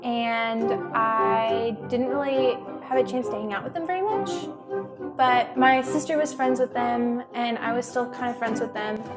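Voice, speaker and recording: A woman in her early twenties chats through her stories with lots of "um" and "like". Her voice is high. Light music runs behind her. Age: 20s. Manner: chatty, many fillers like "um" and "like". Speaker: club member. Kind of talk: casual interview storytelling. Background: background music.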